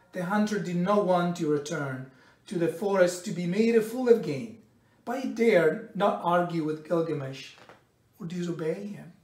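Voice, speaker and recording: A middle-aged man reads a picture book aloud in a measured, expressive storytelling way, pausing between phrases. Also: high-pitched. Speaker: storybook reader. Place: indoors in a bright home room by a window. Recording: roomy echo, distant microphone.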